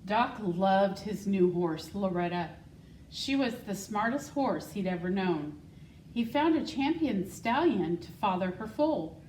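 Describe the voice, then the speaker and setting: Female storybook reader, unhurried and deliberate, roomy sound with faint background noise. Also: medium pitch, lively intonation, darkish tone, far from the mic. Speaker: school secretary, guest storybook reader. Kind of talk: reading a children's picture book aloud.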